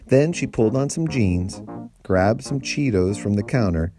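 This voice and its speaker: A man reading a story aloud as a storyteller, with deliberate, dramatic phrasing and emphasis. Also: lowish voice, lively intonation, music in the background. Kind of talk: expressive storybook narration.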